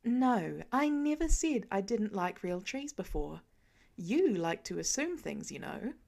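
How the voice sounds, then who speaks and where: A woman voicing a calm, patient grown woman in a fan audiobook reading, speaking evenly with a dry edge. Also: medium pitch, a little slow, gravelly.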